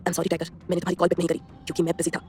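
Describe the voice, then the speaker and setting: A woman voicing a cold, possessive young male gangster who speaks low and curt in an audio story. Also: completely flat delivery, very fast, darkish tone, husky, soft background music. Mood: cold menacing.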